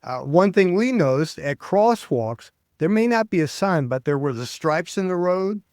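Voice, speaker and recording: An older American man, a public speaking coach, chatting as an interview guest and telling stories with lively quoted voices. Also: sits high, normal speed, no room sound, clean studio sound. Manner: animated storyteller, acts out quoted voices. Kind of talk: casual interview chat.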